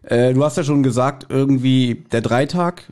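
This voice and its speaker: A man's voice: mid-range voice, moderate pace, darkish tone. A man co-hosting a podcast, chatting casually with a wry, self-deprecating, complaining manner. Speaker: podcast co-host.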